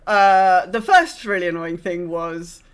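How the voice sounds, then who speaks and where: A woman's voice: on the low side, a little slow, clear, resonant tone. A woman co-hosting a gaming podcast in casual chat, rambling and often breaking off mid-sentence. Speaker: podcast co-host.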